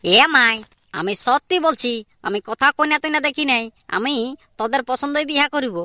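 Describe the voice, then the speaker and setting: A woman acts out a dutiful young village brother in a cartoon. The voice is fairly high and very bright, with a Purulia Bengali accent, earnest and questioning, in a dry recording. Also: expressive, normal speed, no echo. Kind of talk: amateur cartoon comedy dialogue.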